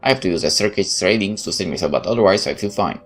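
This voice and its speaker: A man's voice: sits low, expressive, rapid-fire, over music. A man playing through a game, reading its text aloud and adding sarcastic asides. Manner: sarcastic, interjecting quips. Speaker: let's player.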